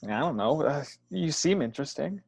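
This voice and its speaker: A man playing a shy, self-doubting man with a halting, earnest way of talking in a play table read. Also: a little high, lively intonation, creaky, a little mellow, husky, full character voice. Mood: awkward, earnest.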